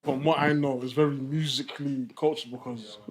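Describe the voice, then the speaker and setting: A man co-hosting a podcast, asking questions haltingly with restarts. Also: mid-range voice, fairly even intonation. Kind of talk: casual interview chat.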